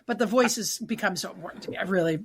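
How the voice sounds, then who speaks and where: A woman's voice: medium pitch, racing, a little hiss or hum. A woman co-hosting a casual podcast, a bakery owner who talks thoughtfully and searches for her words with fillers and restarts.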